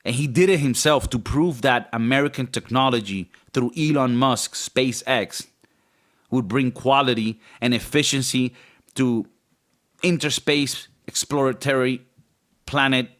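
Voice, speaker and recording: A man with a raspy voice talking as a podcast co-host in a rambling, joking, casually profane way. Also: medium pitch, measured pace, pauses often, a bit bright.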